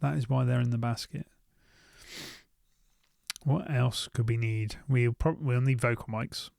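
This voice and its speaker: A British man talks through gear in a casual, unscripted way. His mid-pitched voice has plenty of vocal fry, and the sound is close and dry. He thinks aloud and tends to repeat short phrases. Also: fairly even intonation, measured pace, a little mellow, no echo. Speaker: YouTube gear reviewer. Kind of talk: casual unscripted shopping commentary.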